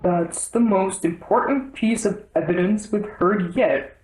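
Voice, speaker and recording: A self-important old storybook king acted out by a woman, grave and deliberate. The words come slowly and weightily, with a roomy echo around them. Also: mid-range voice, a little mellow, close to an everyday voice. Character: pompous, grave, slow-witted old king.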